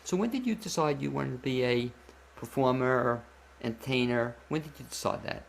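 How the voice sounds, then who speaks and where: Gravelly-voiced male radio show host. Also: mid-range voice, normal speed, slight background noise. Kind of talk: conversational interviewing with announcements.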